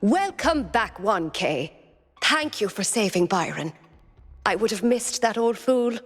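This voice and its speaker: A friendly robot citizen from a video game, speaks with lively pitch. She is warm and lightly teasing, in long reverb. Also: a little slow, breathy edge, faint music behind. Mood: cheerful.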